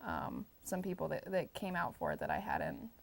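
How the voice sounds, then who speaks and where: A woman's voice: fairly low pitch, moderate pace, gravelly, a little hiss or hum. A woman filmmaker answering interview questions casually, in a hesitant, informal way. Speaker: documentary filmmaker being interviewed.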